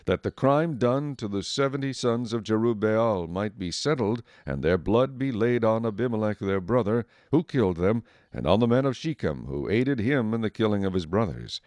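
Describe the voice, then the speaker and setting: A man reads as narrator of a dramatized Bible production. His voice is on the low side, and the sound is dry and close, with little room to it. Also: animated, normal speed, hardly any pauses, darkish tone, dry recording.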